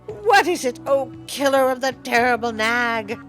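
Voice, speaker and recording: A woman's voice: sits high, expressive, measured pace, fairly bright tone, soft background music, voice pushed up. A woman voicing a cheerful, feather-brained little male songbird in a storybook, exuberant and singsong. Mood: eager, chirpy.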